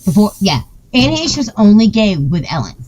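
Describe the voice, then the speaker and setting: A woman's voice: medium pitch, big pitch swings, moderate pace, a crowd in the background. A woman hosting a casual chat, talking emphatically and insistently, often repeating her points.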